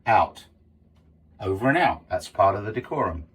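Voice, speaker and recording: A man's voice: fairly low pitch, sing-song, normal speed, slightly rough. A middle-aged man giving an informal, explanatory lesson as a sailing instructor, conversational and stressing key terms.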